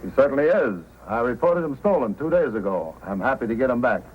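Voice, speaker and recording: An actor playing a folksy, obliging frontier proprietor in old-time radio style. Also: male voice, medium pitch, expressive, brisk, natural voice. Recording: tinny, noisy old radio recording.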